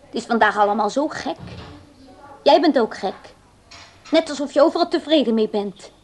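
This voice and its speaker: A woman's voice: high-pitched, lively intonation, normal speed, darkish tone. An actress playing a simple, kind-hearted young woman in a radio play, speaking in an artless, shyly hesitant way. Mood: touched, earnest.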